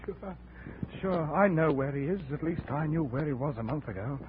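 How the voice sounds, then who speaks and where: An actor playing a big, grimly determined jungle trader with a brooding, menacing manner in a 1950s radio drama. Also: male voice, on the high side, expressive, moderate pace, natural voice. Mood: wry, warning. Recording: narrow, noisy 1950s radio recording.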